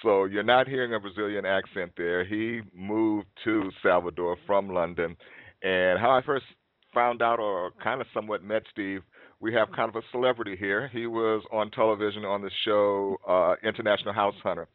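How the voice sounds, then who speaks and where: A man's voice: lowish voice, fairly even intonation, no room sound. Male interview host, tinny dry sound. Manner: friendly, explains for listeners, slow deliberate intros. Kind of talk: interview hosting.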